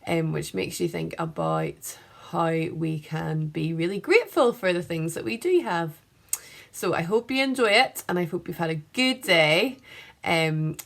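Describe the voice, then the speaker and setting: A woman's voice: fairly low pitch, a bit bright. A woman speaking expressively to young children like a primary teacher, in a storybook read-aloud style. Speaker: primary school teacher reading to class. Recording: roomy, echoing room sound.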